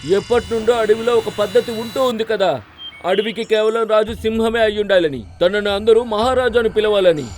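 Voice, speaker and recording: A man's voice: high voice, moderate pace, a little hiss or hum, natural voice. A man voicing a boastful, bossy cartoon donkey who poses as a lion king, with a pompous, commanding manner. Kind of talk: children's cartoon story dubbing. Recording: music bed behind the voice.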